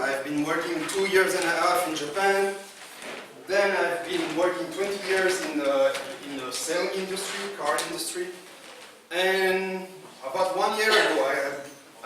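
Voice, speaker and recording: Echoey, distant Belgian man telling stories on stage. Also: a little high. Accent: Belgian French. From Brussels, Belgium. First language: French. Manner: animated retelling with acted-out dialogue, halting non-native English. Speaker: conference speaker. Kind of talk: informal storytelling conference talk. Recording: echoey, noisy room, distant microphone.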